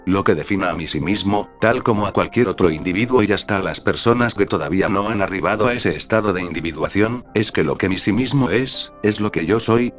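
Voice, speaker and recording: Male host narrating his own essay, low voice, formal and deliberate, faint music underneath. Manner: formal, deliberate, literary phrasing. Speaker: channel host and author. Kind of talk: reading a first-person essay aloud.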